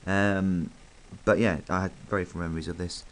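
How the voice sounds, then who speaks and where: A man with a croaky voice from hay fever, chatting casually and rambling a little as he reviews a toy. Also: low voice, little pitch movement, moderate pace. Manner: chatty, rambling, full of ums.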